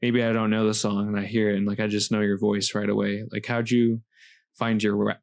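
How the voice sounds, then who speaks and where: A man hosting a podcast interview, relaxed and encouraging, poking fun at his own questions. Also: on the low side, a little fast, creaky, no echo. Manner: relaxed, encouraging, self-deprecating. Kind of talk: casual interview chat.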